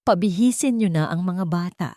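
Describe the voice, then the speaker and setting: A woman performs an ambitious, cold society bride from an audio melodrama. Her delivery is controlled and commanding, in a somewhat low voice with a dry sound. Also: moderate pace, warm tone, dry recording, lightly acted.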